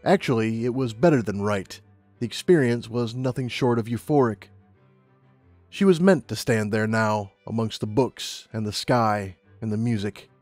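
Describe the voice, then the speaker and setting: A man's voice: fairly low pitch, moderate pace, darkish tone, dry recording, soft background music. A man's voice you would hear reading an audiobook chapter through headphones, close and dry. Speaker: audiobook narrator. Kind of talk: scripted story narration.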